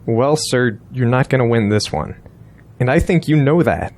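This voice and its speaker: A man's voice: medium pitch, moderate pace, a little mellow, lightly acted. A man playing a blunt, persuasive political strategist in an audio drama, insistent and lecturing.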